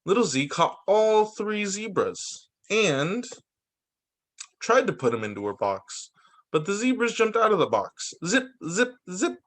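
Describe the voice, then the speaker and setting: A man working as a teacher, reading storybooks aloud for young children in an expressive way with informal asides. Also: high-pitched, a little slow.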